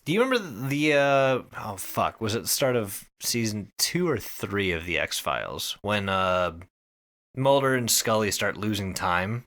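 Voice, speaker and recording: Male podcast co-host, lowish forceful voice, emphatic and sweary explainer. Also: sing-song, unhurried. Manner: emphatic, profane. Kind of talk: casual podcast chat, explaining.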